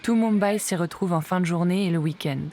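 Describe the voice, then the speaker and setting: Low-voiced woman documentary narrator. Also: brisk, a little mellow, slight background noise. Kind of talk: scripted narration.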